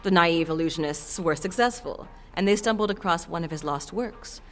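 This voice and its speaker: A woman's voice: lowish voice, normal speed, a bit bright, faint music behind, close to an everyday voice. A woman playing a playful, sultry half-demon shopkeeper in an audio drama, selling with coy, theatrical flourishes. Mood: chatty, storytelling. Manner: coy, theatrical saleswoman with chuckles and purring flourishes.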